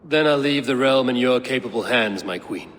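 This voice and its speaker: A man's voice: medium pitch, normal speed, a bit bright, lightly acted. An actor playing a grave, commanding older god-king in a video game, speaking with solemn authority. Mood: grave resolve. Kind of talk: video game cutscene acting. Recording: long hall reverb, clear background noise.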